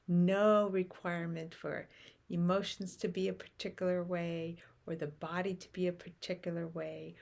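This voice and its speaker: Female meditation guide, slow and gentle delivery, low-ish voice with vocal fry. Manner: slow, gentle, with long pauses.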